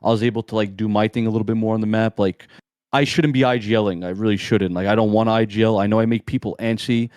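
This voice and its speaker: A young man in casual interview chat, talking in a strong monotone, laid-back and slangy, rambling with restarts. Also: on the low side, fast, no room sound, studio quality. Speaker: amateur esports player, guest.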